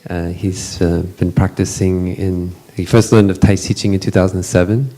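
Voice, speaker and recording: Middle-aged Western man, low mellow voice, halting moderator with lots of ums. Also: fairly even intonation. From Western country. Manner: hesitant, many fillers and restarts. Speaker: retreat panel moderator. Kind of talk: casual introduction to a gathering.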